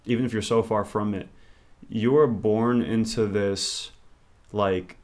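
A man's voice: fairly low pitch, steady tone, slow, a little mellow. A man, talking as an interview guest in a rambling, reflective way. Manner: rambling and reflective, thinks aloud. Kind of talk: casual interview conversation.

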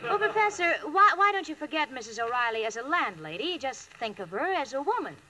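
High, animated, sensible radio roommate. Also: female voice, fairly quick, full character voice. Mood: gently chiding. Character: sensible, wry, protective young female roommate. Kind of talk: 1940s radio comedy acting. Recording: faintly noisy old radio recording.

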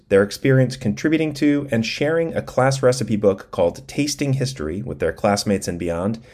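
A man's voice: on the low side, a little mellow. A man delivering a scripted podcast introduction as host. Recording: music behind, recorded on the road.

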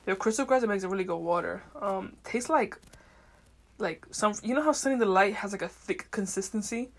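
A woman hosts a solo podcast in a loose, casual way. She speaks in slang and swears freely. Her talk is unfiltered and wanders as she thinks out loud. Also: mid-range voice, fairly quick. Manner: meandering, thinking aloud. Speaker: podcast host. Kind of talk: casual rambling monologue.

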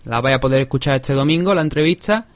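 A man's voice: medium pitch, fast talker. A man with a Spanish accent hosting a podcast, talking casually and rambling with many restarts. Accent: Spanish from Spain. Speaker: podcast host. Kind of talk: casual chat. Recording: remote call.

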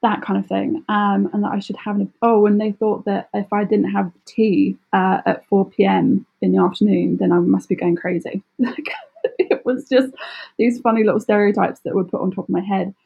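Husky, creaky-voiced British woman chatting. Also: mid-range voice, flat delivery, normal speed, narrow, tinny audio. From United Kingdom. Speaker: podcast guest, travel blogger. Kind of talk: casual conversational interview.

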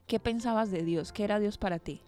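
A woman's voice: mid-range voice, a little fast, a little mellow. A woman hosting a radio interview program, speaking as presenter and interviewer. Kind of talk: interview with scripted introduction.

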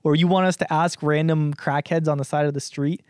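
A young man from Canada co-hosting a casual podcast, his voice sitting slightly high. He talks loosely, swears freely and laughs often. The sound is dry and close, with no room in it. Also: fairly even intonation, a little fast, clear, resonant tone, no echo. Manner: laid-back, swears a lot, laughs often. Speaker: podcast co-host. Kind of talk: casual chat.

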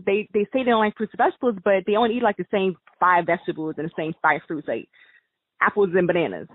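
A woman's voice: fairly low pitch, husky, no echo. A woman with an American accent hosting a casual radio interview, informal and agreeable, often saying "like". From United States. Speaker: interview host. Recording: tinny phone-like radio line.

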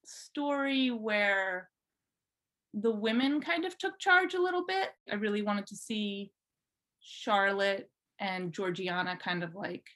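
Female podcast guest, informal and chatty, relaxed personal storytelling in everyday conversational language. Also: mid-range voice, takes it slowly. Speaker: podcast guest, fan fiction writer. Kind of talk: casual chat, telling personal story.

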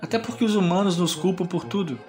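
A man's voice: high-pitched, quick pace, a little mellow, soft background music, close to an everyday voice. An actor playing a cynical, mocking male demon who speechifies with sardonic disdain for humans in an audio drama. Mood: scornful.

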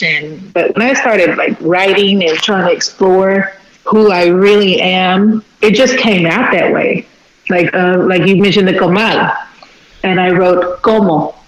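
Woman guest poet from Texas, mid voice, unhurried and thoughtful, roomy dull radio sound. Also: lowish voice. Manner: reflective, unhurried, with filler pauses. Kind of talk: radio interview conversation.

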